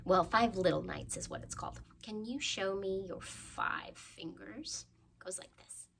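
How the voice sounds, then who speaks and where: A woman's voice: mid-range voice, a little slow, creaky, fairly bright tone, slight background noise. A woman hosting a children's storytime, leading rhymes and crafts in a playful, encouraging manner and inviting young listeners to join in.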